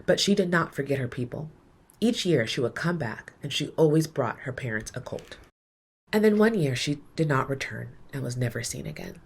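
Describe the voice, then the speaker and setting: A woman reading a children's storybook aloud in an expressive, measured storytelling manner, letting her phrases end softly. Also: a bass voice, creaky, husky. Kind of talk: storybook read-aloud, scripted narration.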